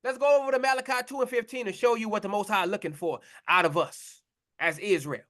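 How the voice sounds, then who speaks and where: A man's voice: high voice, steady tone, brisk, fairly bright tone. A man preaching a confrontational sermon, blunt and mocking, in slangy talk to his listeners.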